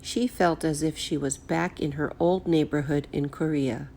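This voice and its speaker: A woman's voice: low-pitched, fairly even intonation, normal speed, dark tone, slight background noise. A woman teacher reading a picture book aloud in a careful storytelling style for children. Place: indoors at home, echoey room. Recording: roomy, echoey home recording.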